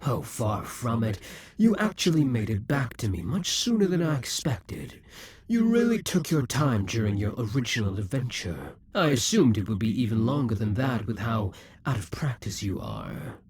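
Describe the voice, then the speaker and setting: A man's voice: medium pitch, sing-song, moderate pace, a little mellow, slightly rough. A man voicing a proud, possessive male demon king who taunts and schemes, sometimes dropping to a whisper. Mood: taunting. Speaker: voice actor in an audio roleplay. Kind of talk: audio roleplay acting.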